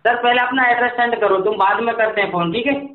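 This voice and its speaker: A man's voice: very high voice, fast talker. A man working as a company phone agent on a sales call, speaking insistently and repeating himself over a telephone. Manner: insistent, repetitive. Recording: tinny, narrowband phone line recording.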